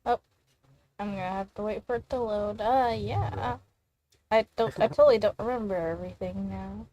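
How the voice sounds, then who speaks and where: A woman's voice: medium pitch, normal speed, mellow, slight background noise, low-quality recording. A woman giving casual, offhand commentary as she plays a game. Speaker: gamer playing on a stream.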